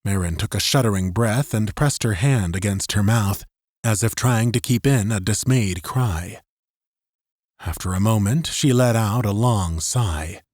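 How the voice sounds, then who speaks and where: A man's voice: lowish voice, a little slow, a little mellow, no echo, studio quality. A man reading dramatic scripted narration as an audiobook narrator.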